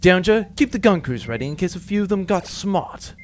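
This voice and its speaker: A man's voice: high-pitched, animated, brisk, slight background noise. A man playing a roguish, self-assured space pirate captain, wry and dramatic, in a comic sci-fi audio drama. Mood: satisfied.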